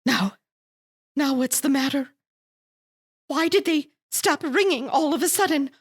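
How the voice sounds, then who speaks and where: A woman's voice: high voice, a little slow. A woman playing a frantic, demanding, nervous wife in a melodramatic radio thriller, imperious and theatrical. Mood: anxious, agitated. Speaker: actor in a volunteer radio drama.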